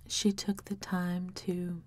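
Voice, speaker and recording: A woman in her thirties doing ASMR in a slow, soothing whisper, close to the mic. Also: on the low side, little pitch movement, a little mellow, dry recording. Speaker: ASMR video creator. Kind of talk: ASMR whispering, gentle makeup roleplay.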